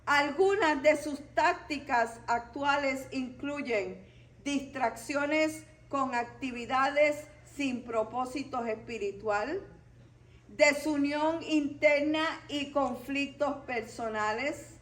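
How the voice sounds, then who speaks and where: A woman's voice: sits high, slow pace, rough recording. A woman from Puerto Rico with a Puerto Rican accent, teaching a Bible study to a church group in a didactic way, stressing key points.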